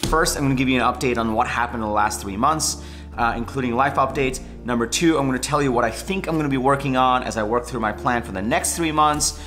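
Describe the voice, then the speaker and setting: An enthusiastic man in his thirties chats casually to camera in a living-room studio. His lively, quick delivery stays upbeat throughout. Plucked guitar music sits clearly behind his voice. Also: mid-range voice. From Bangladesh, raised in New York. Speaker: vlogger, weekly channel host. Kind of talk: casual vlog update to camera.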